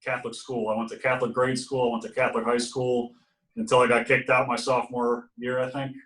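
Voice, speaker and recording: A man's voice: medium pitch, level tone, quick pace, a bit bright, recorded from a distance. A man from Ohio, a podcast guest, telling his story in an easygoing, casual way. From Ohio, USA. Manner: easygoing storyteller, laughs now and then. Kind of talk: casual interview chat. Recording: roomy sound from off mic.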